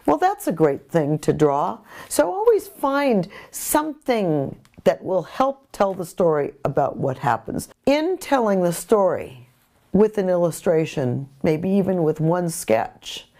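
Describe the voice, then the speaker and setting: A middle-aged woman giving informal art instruction in deliberate, explanatory short phrases. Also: fairly low pitch, big pitch swings, warm tone. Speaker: courtroom artist giving instruction. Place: small bright home studio, indoors by a window.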